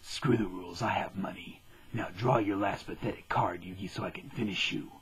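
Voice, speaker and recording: A cold, arrogant young billionaire rival from a cartoon parody, voiced by a man. The voice sits low and is very breathy and hushed. He speaks with deadpan smugness. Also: fairly quick, exaggerated voice. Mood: cold, smug. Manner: smug, deadpan. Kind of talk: parody anime dub.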